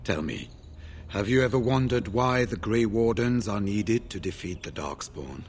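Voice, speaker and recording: A man playing a grave, weary older warden in a video game, speaking in a formal, measured way. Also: on the low side, animated, slight background noise, close to an everyday voice. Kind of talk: scripted video game dialogue.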